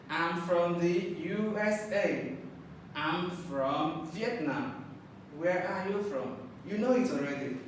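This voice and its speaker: A man teaching an English lesson to children, slow and patient, repeating words and spelling out capital letters. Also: high voice. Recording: echoey room, far from mic, faint noise.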